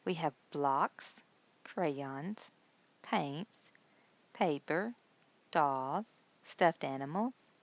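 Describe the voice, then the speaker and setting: A woman's voice: sits low, lively intonation, extremely slow, pauses often, dull audio, exaggerated voice. Lady reading as a kind hospital nurse in a kids storybook, explains stuff patiently, lots of up and down. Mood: friendly, upbeat. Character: kindly adult female hospital nurse guide.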